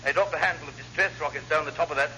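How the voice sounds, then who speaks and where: An actor playing a cheerfully apologetic, blithely incompetent naval lieutenant who rambles and repeats himself in an old radio sitcom. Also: male voice, fairly high pitch, rapid-fire, bright, forceful tone. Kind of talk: classic radio sitcom acting. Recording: noisy old radio comedy recording.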